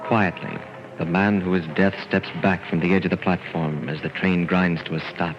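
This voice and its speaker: An actor as the solemn, measured narrator of a murder mystery in 1940s radio style. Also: male voice, low voice, little pitch movement, natural voice. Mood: ominous. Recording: dull old radio, loud music behind.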